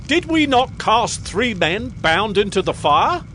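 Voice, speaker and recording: An actor playing a proud, commanding king of Babylon in a dramatized audio Bible, declaiming in an imperious, measured way. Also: high-pitched, big pitch swings, sharp tone, a little hiss or hum, heavily acted. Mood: astonished. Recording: music behind the voice.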